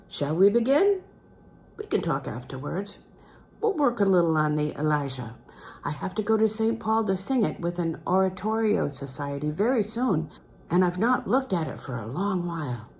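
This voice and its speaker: Audiobook role: assured, gentlemanly male music master, genteel and animated, read by a woman in a lowish voice. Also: moderate pace. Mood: polite, assured. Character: courteous, worldly, assured male concert singer and teacher. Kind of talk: storybook character reading from a literary novel.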